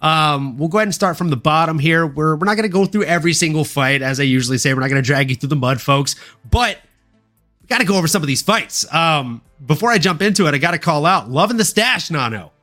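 Guy hosting a fight podcast, kinda high bright voice, slangy, music playing behind. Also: lively intonation, fast talker. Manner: informal, slangy, showman-like intros. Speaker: podcast host. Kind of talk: upbeat sports podcast talk and fight recap.

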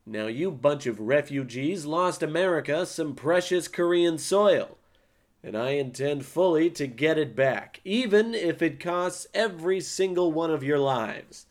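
A man's voice: fairly high pitch, expressive. A man playing a boastful, pompous army captain in a comedy audio drama. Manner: pompous, boastful, slow and grandiose.

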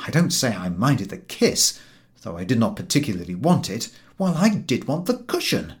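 A man narrating a humorous story as an audiobook reader, in an expressive scripted style. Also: medium pitch, normal speed.

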